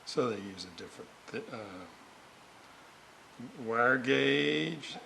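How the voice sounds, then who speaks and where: A man with a gravelly voice, muttering haltingly to himself as he thinks aloud through technical work and repeats readings. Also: mid-range voice, lively intonation. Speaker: radio restorer. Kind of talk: thinking aloud while working. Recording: clearly noisy workshop recording.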